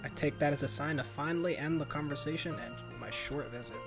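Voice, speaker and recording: A man's voice: fairly high pitch, brisk, music in the background. A man reading visual novel narration aloud as a let's player, occasionally stumbling and rereading words.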